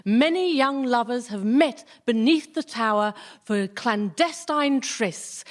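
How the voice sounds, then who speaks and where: Female British comedy panellist, high-pitched, theatrical and deliberate in improvised speech. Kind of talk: improvised comic monologue. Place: theatre stage before a studio audience.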